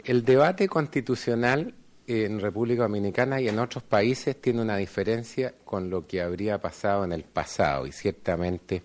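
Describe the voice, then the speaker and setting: A middle-aged man speaking on a conference panel in a measured, formal way, often pausing on fillers. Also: on the low side, a little mellow. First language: Spanish. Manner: measured, hesitant with frequent fillers. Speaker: panel speaker, law school dean.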